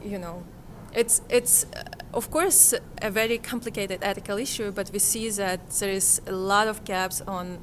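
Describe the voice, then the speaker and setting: A woman's voice: medium pitch, fairly even intonation, moderate pace, hardly any pauses, background noise. A woman from Kazakhstan, giving reflective interview answers in a hesitant way, often searching for words. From Kazakhstan, Central Asia. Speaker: interviewee, conference participant.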